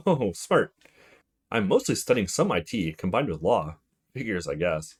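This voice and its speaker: A man's voice: on the low side, sing-song, a little slow, husky, voice pushed down, full character voice. A man voicing a friendly, coy young student woman in a visual novel, with casual, teasing delivery.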